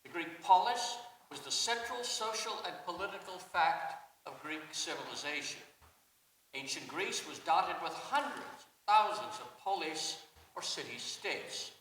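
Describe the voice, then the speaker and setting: Loud, emphatic man heard from far off. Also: on the high side, expressive, a little slow, slight background noise. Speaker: panel speaker, journalist and editor. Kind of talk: conference talk. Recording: distant voice in echoey hall.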